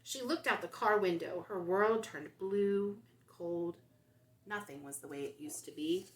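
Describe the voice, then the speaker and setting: A woman reading aloud and talking to young children as a storytime host, with expressive, simple phrasing. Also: fairly low pitch, a little slow. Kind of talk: picture-book read-aloud for young children.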